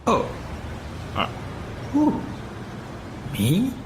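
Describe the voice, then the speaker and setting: A man's voice: sits high, wildly swooping pitch, drawn-out words, noisy background, exaggerated voice. An actor playing a naive, lovestruck young man in an audio drama, dreamy and hesitant. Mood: dreamy, awkward.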